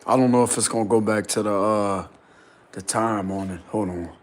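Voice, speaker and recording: A man vlogging casually and insistently from a small rented room, with a deep, loud voice. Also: moderate pace. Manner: excited, insistent, slangy. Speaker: spiritual vlogger. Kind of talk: casual vlog to camera.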